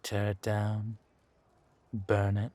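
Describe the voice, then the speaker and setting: A man's voice: lowish voice, monotone, drawn-out words, warm tone, dry recording. A man acting a menacing, seductive villain king in a roleplay audio drama, with a theatrical, commanding drawl. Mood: smug, seductive.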